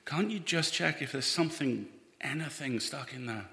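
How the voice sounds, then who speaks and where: A man playing an anxious, earnest spaceman in a live audio drama, halting and pleading as he speaks. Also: on the high side, creaky, sharp tone. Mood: desperate. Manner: halting, repeats words, pleading. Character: anxious, earnest spaceman devoted to his ship's AI. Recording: echoey hall, live stage recording.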